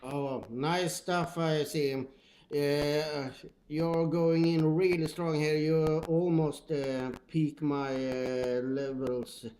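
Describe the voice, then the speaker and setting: Slow, halting Swedish-accented man on CB. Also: on the high side, flat delivery, noisy background. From Sweden. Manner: halting, hesitant. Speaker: CB radio operator. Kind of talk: casual two-way radio chat. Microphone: old radio microphone.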